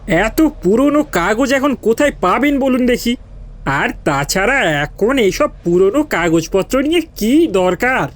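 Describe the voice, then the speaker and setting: A man's voice: very high voice, animated, unhurried. A man playing a grudging, officious village office clerk in an audio story, with a drawling delivery. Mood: dismissive, teasing. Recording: music bed behind the voice.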